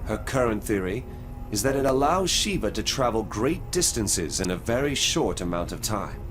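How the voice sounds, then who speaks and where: An actor playing a dutiful, earnest male commander in a science fiction video game, talking in a measured, formal way. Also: on the low side, big pitch swings. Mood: reporting. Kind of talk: video game cutscene acting. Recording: music under the voice.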